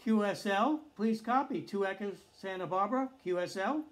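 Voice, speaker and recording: A man's voice: high-pitched, moderate pace. An older man working as an amateur radio operator, giving clipped exchanges with phonetic spelling over two-way radio.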